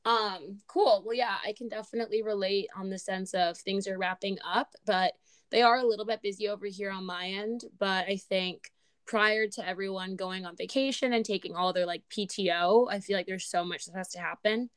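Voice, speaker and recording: A young woman in her twenties co-hosts a relaxed podcast chat, lively and quick to laugh. Her voice is slightly raspy from screaming. The sound is dry and close, with no room around it. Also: medium pitch, dry recording. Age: 20s. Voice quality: animated, laughs often. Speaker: podcast co-host. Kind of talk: casual chat.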